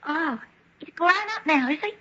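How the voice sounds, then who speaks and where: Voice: high-pitched, moderate pace, sharp tone. A performer playing a small working-class boy, polite, innocent and eager, in an old radio drama. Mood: eager, curious. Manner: respectful, simple, slangy. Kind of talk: golden age radio drama acting. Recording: dull, noisy vintage radio recording.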